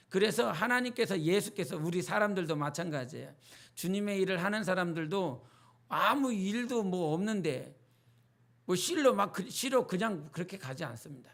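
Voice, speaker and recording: A male pastor with a North Jeolla accent, preaching a midweek sermon in a chatty, storytelling way and putting questions to the congregation. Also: high voice. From North Jeolla, South Korea.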